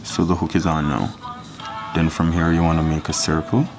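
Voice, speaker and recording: A man from Jamaica walking through a fishing knot step by step in a relaxed, casual tutorial. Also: a bass voice, normal speed, over music. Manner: relaxed, step-by-step instruction with counting. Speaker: fishing channel host.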